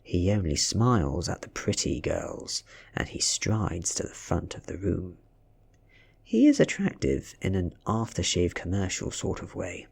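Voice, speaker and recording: A man with a British accent softly reads a story in ASMR style, breathy and often slipping into a whisper, with measured, dramatic delivery. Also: deep voice, very animated. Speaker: ASMR reader. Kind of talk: soft-spoken ASMR audiobook reading.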